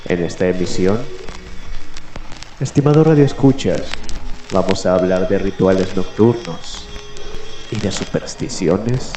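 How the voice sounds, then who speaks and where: A man hosting a late-night mystery radio show, speaking in dramatic, deliberate phrases with pauses between them. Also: deep voice, dark tone. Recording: echoey voice over background music. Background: music.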